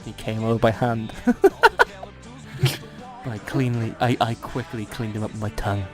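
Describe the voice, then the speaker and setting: A man's voice: fairly low pitch, level tone, normal speed, music in the background. A man casually bantering and reading fan fiction aloud in a mock-dramatic, teasing and sweary way.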